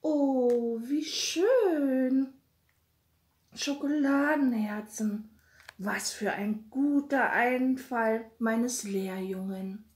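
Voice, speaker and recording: A woman voicing an excitable master baker in a children's storybook, loud and emphatic. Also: fairly high pitch, drawn-out words, echoey room, voice pushed up. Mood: delighted. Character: blustery male master baker, loud and indignant or delighted.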